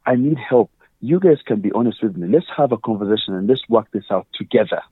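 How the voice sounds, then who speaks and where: A man's voice: lowish voice, expressive, a little fast, husky, dry recording. Ugandan man on a tinny phone line. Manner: earnest, explains with examples and quoted questions. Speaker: guest. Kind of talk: conversational interview.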